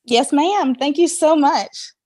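A woman's voice: sits high, takes it slowly, a little mellow. A woman answering interview questions as a guest, earnest and emphatic, repeating phrases for weight. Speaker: podcast guest, fan fiction writer.

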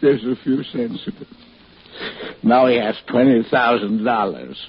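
A man's voice: mid-range voice, a little slow, slightly rough. An actor playing an anxious, drunken, heartbroken husband in old American radio drama, rambling and stammering as he goes. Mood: pleading, drunk. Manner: rambling, hesitant, stammering and repeating himself. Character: anxious, drunken, heartbroken husband hiding behind a false name. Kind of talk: 1940s-50s American radio drama acting. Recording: muffled, hissy old radio recording.

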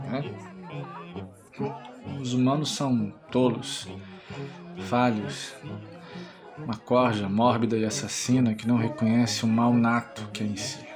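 A mocking, world-weary demon acted by a man holds forth in an audio drama. The voice sits at a medium pitch, full of sardonic disdain for humans. Music plays softly underneath. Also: close to an everyday voice. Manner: sardonic, speechifying, chuckling.

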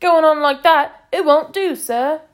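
A woman voicing a gruff, working-class army corporal with a loud drill-sergeant manner and a cockney accent. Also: high-pitched, unhurried, pitched above the performer's own voice. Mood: flustered. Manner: barking, clipped, working-class.

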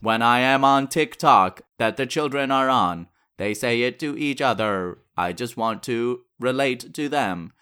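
A man's voice: medium pitch, measured pace, hardly any pauses, bright, forceful tone, clean tone. A synthetic male computer voice playing a crude, smug, defiant son of a genius, with stilted, formal wording. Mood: defiant, self-justifying. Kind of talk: scripted comedy character bit.

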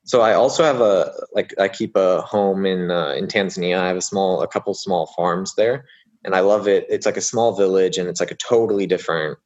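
Deep-voiced man, casual and rambling. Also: a little fast, a little mellow, dull audio. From United States. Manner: rambling, many fillers and restarts. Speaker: guest. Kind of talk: casual conversational interview.

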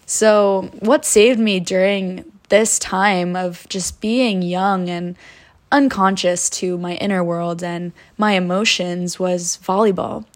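A woman in her twenties hosting a podcast, telling her life story in a soft, reflective and earnest way, with spiritual wording. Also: on the low side, fairly even intonation, slow. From United States. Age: 20s. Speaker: podcast host. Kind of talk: personal storytelling monologue.

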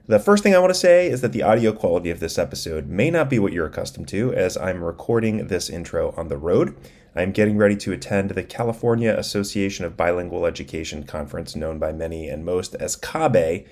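A man's voice: low voice, expressive, brisk, a little mellow. A man delivering a scripted podcast introduction as host. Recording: music behind, recorded on the road.

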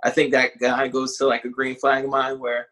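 A man's voice: medium pitch, completely flat delivery, normal speed, sharp tone. A man in his late twenties chatting casually as a podcast co-host, talking things through in a reflective way and searching for his words. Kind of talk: casual conversation.

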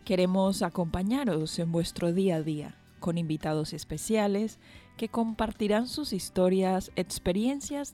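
A woman's voice: mid-range voice, mellow. A woman hosting a radio interview program, speaking as presenter and interviewer. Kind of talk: interview with scripted introduction.

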